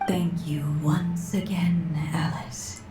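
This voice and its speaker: Slow, soft motherly storyteller witch. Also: lowish voice, fairly even intonation. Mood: wistful, fading. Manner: solemn, tender, measured. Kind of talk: English video game voice acting. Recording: echoey, loud music underneath. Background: music.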